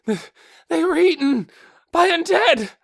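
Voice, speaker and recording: Man acting a panicked night guard, shrill frantic shouting. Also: lively intonation, takes it slowly, gravelly, no echo, studio quality, heavily acted. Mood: terrified. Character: panicked male night guard, breathless and terrified. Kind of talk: audiobook character acting.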